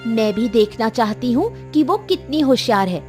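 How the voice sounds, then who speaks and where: A woman's voice: a little high, very animated, normal speed, a little mellow. A woman voicing a proud, jealous, scheming rich man's daughter in a cartoon village tale. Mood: jealous, defiant. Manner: haughty. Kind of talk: cartoon moral tale acting. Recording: music behind the voice.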